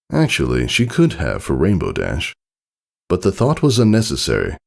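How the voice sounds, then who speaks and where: A man's voice: deep voice, creaky, a little mellow. A man reading scripted storybook narration as the narrator of a piece of fiction.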